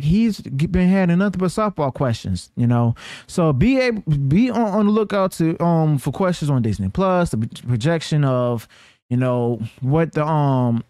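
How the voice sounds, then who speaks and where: A man's voice: a little high, darkish tone, no echo, clean studio sound. A man hosting news commentary, partly reading from notes, who stumbles and corrects himself and often checks in with the listener. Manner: halting delivery with stumbles and self-corrections. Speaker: host of a news commentary channel.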